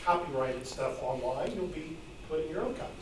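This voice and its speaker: A man's voice: fairly high pitch, brisk. A man offering suggestions in an informal discussion from the audience at a club meeting. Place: club meeting room, far from mic. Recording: very echoey room, distant mic, noisy.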